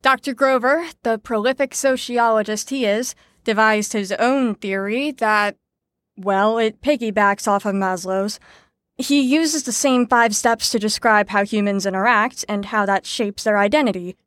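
An actress playing a brisk, sarcastic teacher in an audio drama, lecturing in an articulate, wry way. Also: female voice, mid-range voice, fairly bright tone, no room sound, lightly acted. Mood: didactic, patient. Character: brisk, sarcastic female teacher explaining psychology theories. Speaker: lecturer leading a group lesson.

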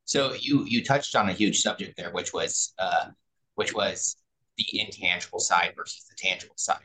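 A man's voice: low voice, normal speed, pushed and bright, low-quality recording. A man hosting a podcast in a conversational way, wordy, repeating and rephrasing himself as he goes. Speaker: podcast host. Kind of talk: conversational interviewing.

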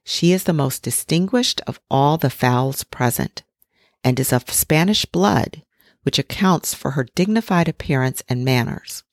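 A woman voicing a mother duck who is weary but proud and protective, with a fussing, gently instructive manner. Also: deep voice, animated, unhurried, no room sound, studio quality. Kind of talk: storybook reading.

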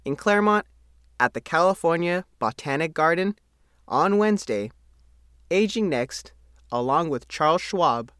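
Male American reporter for scripted news narration, highly animated intonation, slightly high voice. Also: unhurried, clean tone, dry recording, clean studio sound. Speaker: newspaper reporter reading own article.

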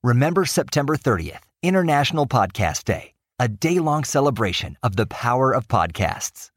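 A man's voice: medium pitch, very animated, normal speed, dry recording, clean studio sound. A man reading a scripted promotional spot in an upbeat, polished announcer style.

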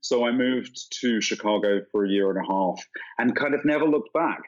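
An enthusiastic man in his forties with a London accent softened by years in America, talking eagerly as an interview guest. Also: on the low side, normal speed, highs cut off. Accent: London English softened by American. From London, England. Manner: chipper, enthusiastic, with frequent restarts. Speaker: guest, travel writer. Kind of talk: conversational interview.

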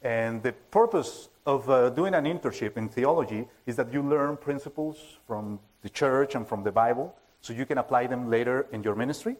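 A man with a Spanish accent, Spanish as his first language, preaching an informal sermon, halting and joking at his own expense with many fillers and restarts. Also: mid-range voice. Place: church hall with a full audience.

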